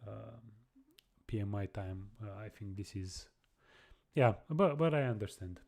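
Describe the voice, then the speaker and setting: A young man chatting casually on a live coding stream, halting and restarting his sentences as he answers viewers. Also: on the low side, lively intonation, vocal fry, darkish tone. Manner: halting, many restarts and repeated words.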